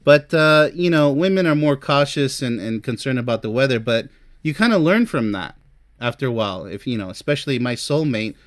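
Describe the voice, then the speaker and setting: A man's voice: mid-range voice, lively intonation, brisk, dry recording, studio quality. Emphatic, chatty man giving advice. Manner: chatty and emphatic, addresses listener directly. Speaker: relationship advice host. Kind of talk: informal advice talk to camera, ranking topics.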